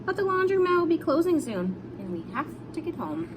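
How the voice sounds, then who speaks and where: A woman voicing a caring, practical mother who gently instructs in a storybook reading. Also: very high-pitched, big pitch swings, brisk, background noise, pitched above the performer's own voice. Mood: caring, reassuring.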